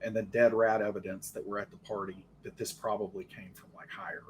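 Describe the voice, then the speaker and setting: A man's voice: lowish voice, level tone, fairly quick, slight background noise, rough recording. A man playing a tabletop game, speaking casually and tentatively, repeating words as he makes suggestions.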